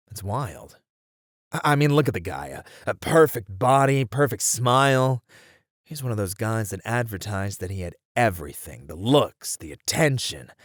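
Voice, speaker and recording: A man's voice: medium pitch, no room sound, clean studio sound, natural voice. A man acting as a weary detective in an ASMR roleplay, musing conversationally over a murder case in a casual way. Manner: casual, musing, sighing delivery. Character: weary male detective puzzling over a serial killer case.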